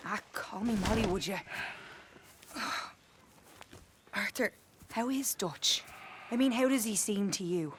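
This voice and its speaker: Lady doing worried lovesick woman from a cowboy game, hesitant, confiding, talks real slow. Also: mid-range voice, background noise, close to an everyday voice. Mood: anxious, wistful. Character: anxious, lovelorn young woman in an outlaw gang. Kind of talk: dramatic video game cutscene acting.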